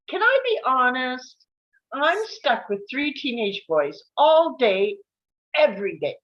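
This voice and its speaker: A woman plays a jaded, party-hungry mother in a comedy script. She is frank and exasperated, with a high, very animated voice and slightly dull sound. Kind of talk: screenplay table read.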